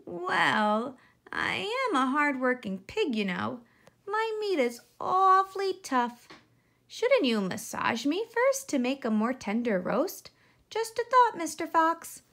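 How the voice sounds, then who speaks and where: Small sly piglet played by a woman, high voice, sighing, wheedling, mock-innocent, children's storybook. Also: very animated, takes it slowly, gravelly, a bit bright, full character voice. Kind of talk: children's storybook read-aloud.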